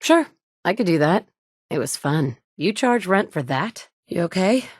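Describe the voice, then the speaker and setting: A woman's voice: on the low side, sing-song, a little slow, no room sound, studio quality. An actress voicing a confident, wry female survivor in a video game, delivering blunt, self-assured lines. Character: confident, wry adult woman wasteland survivor. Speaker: player character in a video game.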